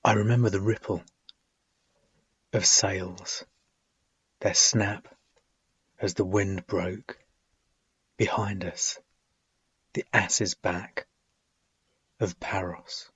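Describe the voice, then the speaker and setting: A man giving a solemn poetry reading, deliberate and measured, with dramatic phrasing, long pauses and husky, breathy phrase endings. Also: low-pitched, fairly even intonation, fairly bright tone, dry recording, lo-fi sound. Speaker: poet reading own work.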